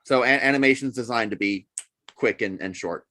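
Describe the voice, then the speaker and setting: Mid-pitched man, crisp forceful tone, opinionated and conversational. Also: brisk. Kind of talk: casual group chat.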